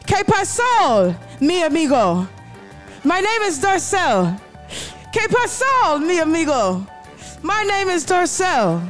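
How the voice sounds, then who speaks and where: A woman voicing a loud, boastful, excitable man who cusses and hypes himself up. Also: very high-pitched, takes it slowly, soft background music, voice pushed up, exaggerated voice. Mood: showing off. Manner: brash, cussing, hyped-up.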